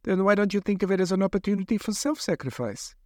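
A man's voice: high voice, expressive, fast talker, no echo, clean studio sound, pitched above the performer's own voice. Man putting on a clipped, cold woman doctor. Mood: coaxing. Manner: polite, clipped, coolly insistent. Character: brisk cold female doctor, scientist experimenting on captives.

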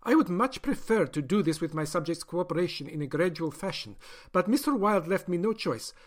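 A man's voice: sits high, lively intonation, a little fast, voice pushed up. A man voicing a polite but ruthless woman doctor who experiments on captive people, in a prim, clipped, coolly courteous manner. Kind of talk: audiobook character voice.

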